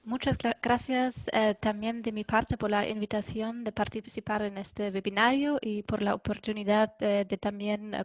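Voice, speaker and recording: A woman giving a webinar presentation in Spanish, which is not her first language, pausing often with fillers and correcting herself. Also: medium pitch, a little mellow, no echo. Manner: hesitant, many ums and self-corrections.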